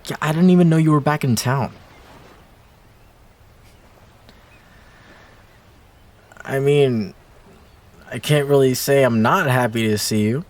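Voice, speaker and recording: A man acting a wistful young ex-boyfriend in an intimate roleplay audio drama, speaking casually and hesitantly, with pauses and trailing phrases. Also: mid-range voice, a little mellow, natural voice. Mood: guarded surprise.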